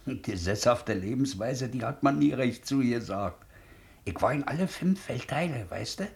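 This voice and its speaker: An actor playing a kindly, weary middle-aged ex-convict shoemaker, gentle and colloquial, in a 1950s radio play. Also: male voice, medium pitch, lively intonation, normal speed, vocal fry. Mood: gentle, reassuring. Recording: 1950s radio drama recording.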